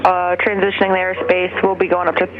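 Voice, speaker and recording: An air traffic controller giving brisk procedural instructions over aviation radio. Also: medium pitch, completely flat delivery, a loud crowd. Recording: dull, very noisy aviation radio.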